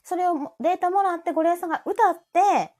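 A woman with a Kansai Japanese accent chatting casually as a co-host, playful and teasing, quick to react. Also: very high voice, a little mellow. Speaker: co-host of a live audio chat show. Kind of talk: casual chat.

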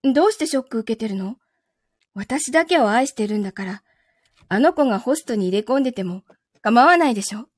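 A woman playing a possessive lover in a voice drama, speaking gently and calmly with quiet menace. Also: on the high side, no echo, clean studio sound. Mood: cold, calm. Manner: soft, gentle, unhurried delivery without shouting. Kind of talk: Japanese voice drama acting.

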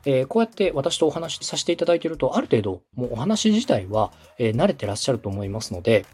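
Lively, husky-voiced male podcast coach. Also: mid-range voice, fast. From Osaka, Japan. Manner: polite, explanatory, encouraging. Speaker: podcast host, coach, radio director. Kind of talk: instructional advice in a podcast dialogue.

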